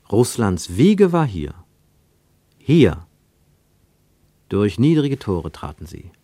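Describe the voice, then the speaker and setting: A man's voice: low voice, darkish tone, no echo. A man reading scripted literary narration for a radio drama, with dramatic, clipped phrasing.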